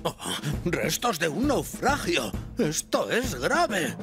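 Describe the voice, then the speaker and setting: A man's voice: sits high, sing-song, slow pace, full character voice. A man voicing a grave, declamatory fire chief in a children's cartoon. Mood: grave, urgent. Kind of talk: animated children's cartoon dub. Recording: loud music behind dialogue.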